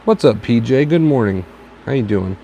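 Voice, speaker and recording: A tired man with a raspy, dry throat, giving calm advice on a casual live stream and repeating his points for emphasis. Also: medium pitch, moderate pace. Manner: reflective, repeats phrases for emphasis. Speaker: host answering viewer questions. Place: home stream from a tablet. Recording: faint background noise, lo-fi stream.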